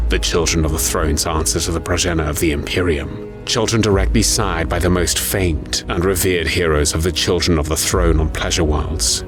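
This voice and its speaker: A man reading scripted, dramatic narration for an audio story. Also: sits low. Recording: music under the voice. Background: music.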